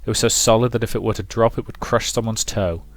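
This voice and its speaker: Casting: male let's play narrator, fairly low voice, dramatic storytelling read, dry recording with little room. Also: fairly even intonation, quick pace, no echo.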